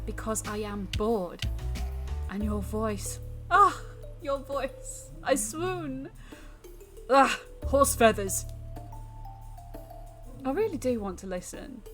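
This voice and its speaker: A woman's voice: on the high side, animated, slow, pauses often, a bit bright, faint music behind. A woman voicing a bored, teasing ancient demon with a theatrical, mocking manner and cackles, in a dramatic visual novel reading. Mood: playful coaxing.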